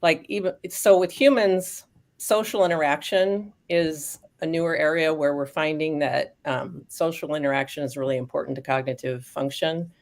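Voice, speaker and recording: A woman speaking as a guest expert on aging dogs, explaining research in a thoughtful, casual way with frequent pauses and restarts. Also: deep voice, steady tone. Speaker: guest expert on canine cognitive decline.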